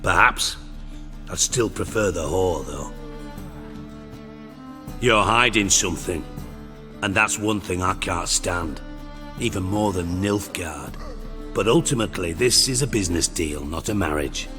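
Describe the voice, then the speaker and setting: An actor playing a cynical middle-aged spymaster in a video game, with a British accent and a mockingly polite, wry, profane way of talking. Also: male voice, low-pitched, a little slow, pauses often, long reverb tail, over music. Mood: scornful. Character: cynical, shrewd, sarcastic male underworld spymaster, middle-aged.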